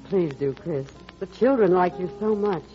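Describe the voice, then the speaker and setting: A woman's voice: lowish voice, expressive, moderate pace, faint music behind, natural voice. An actress playing a wistful, easily flustered middle-aged mother in a classic radio drama. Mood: guarded, uneasy. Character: mature widowed mother, flustered, wistful and guarded. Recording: dull lo-fi old radio recording.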